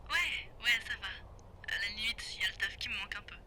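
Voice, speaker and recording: An actress playing a teasing, affectionate pregnant wife, talking casually over a private radio line in an audio drama. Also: female voice, medium pitch, expressive, fast, bright, forceful tone, slight background noise, poor audio. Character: pregnant young wife, teasing, tender and sarcastic. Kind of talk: naturalistic audio drama dialogue.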